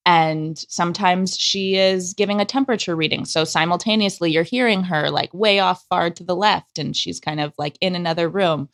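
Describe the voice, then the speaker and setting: A woman's voice: lowish voice, normal speed, hardly any pauses. A woman speaking as a creative guest in an interview, explaining things emphatically and with enthusiasm. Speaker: guest, audio drama creator.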